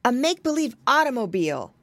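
A woman voicing an eager, bold young boy piglet with a keen, excited manner, in a storybook. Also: a little high, fast, no echo, voice pushed up, full character voice.

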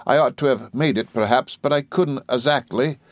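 A man's voice: medium pitch, normal speed, no echo, voice pushed up, lightly acted. A man voicing a kindly, flustered, motherly housekeeper in an audiobook, agitated, affectionate and faltering. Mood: agitated, breathless. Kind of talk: audiobook character dialogue.

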